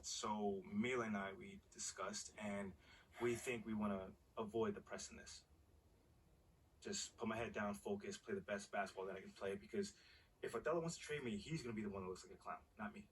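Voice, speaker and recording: A man's voice: lowish voice, fairly even intonation, brisk, close to an everyday voice. A male actor playing a proud, wounded young basketball player in a video game cutscene, talking in casual slang. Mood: stubborn, guarded. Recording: noisy, distant game capture.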